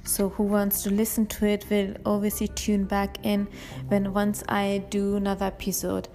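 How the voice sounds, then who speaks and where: A woman's voice: medium pitch, completely flat delivery, moderate pace, dark tone, in a large hall. A woman hosting her own show, reading a personal account aloud from handwritten notes in a careful, earnest way, sometimes restarting a phrase. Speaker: podcast host.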